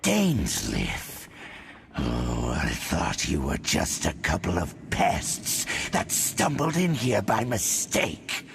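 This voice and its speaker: A man voicing a menacing, haughty monstrous herald in a video game, with gravelly, pompous, threatening pronouncements. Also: low-pitched, wildly swooping pitch, slow pace, a little hiss or hum, full character voice. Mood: contemptuous. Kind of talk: video game villain acting.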